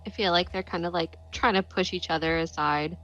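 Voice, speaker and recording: Female tabletop player, low register, casual informal delivery, slightly dull audio over a quiet music bed. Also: fairly even intonation, fairly quick. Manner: informal, sweary. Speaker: player in a tabletop role-playing game. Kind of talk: casual in-game description of her character. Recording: dull lo-fi sound, faint music behind.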